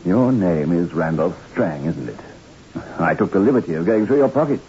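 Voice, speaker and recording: Male doctor, lowish voice, reassuring, firm, lively intonation, muffled vintage radio drama. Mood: calm, probing. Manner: soothing but brisk, commanding. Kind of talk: 1960s radio drama acting. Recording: muffled, noisy old radio recording.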